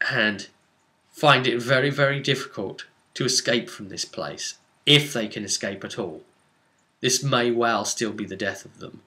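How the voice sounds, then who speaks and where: A man recapping a tabletop role-play session as its game master, telling it excitedly and repeating phrases for emphasis. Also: mid-range voice. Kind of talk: animated session recap storytelling.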